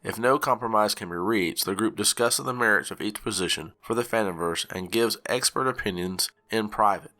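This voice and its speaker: Lowish bright-voiced man reading rules. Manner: measured, reads out rules like a charter. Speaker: channel co-founder. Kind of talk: scripted announcement reading.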